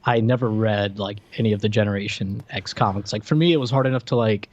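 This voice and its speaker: A man's voice: fairly low pitch, fast, muffled recording. A man co-hosting a podcast, chatting casually and dropping in dry, joking asides. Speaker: podcast co-host. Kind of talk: casual conversational chat.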